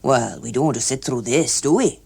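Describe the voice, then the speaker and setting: A woman's voice: extremely low pitch, moderate pace, creaky, darkish tone, slightly rough, voice pushed down, full character voice. A woman voicing a stiff, fussy older male tax inspector in an audiobook, clipped and awkward. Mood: dismissive. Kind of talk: audiobook character voice.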